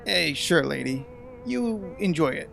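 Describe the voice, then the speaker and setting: A man playing an awkward, apologetic front-desk receptionist in an audio drama, folksy and halting. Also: a little high, lively intonation, vocal fry, fairly bright tone, soft background music, exaggerated voice. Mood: flustered, apologetic.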